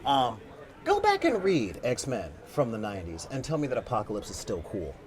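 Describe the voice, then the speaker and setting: A man talking casually on a fan panel, opinionated and lively, acting out quotes as he argues his points. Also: mid-range voice, normal speed. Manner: opinionated, animated, acts out quotes. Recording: convention panel with crowd noise.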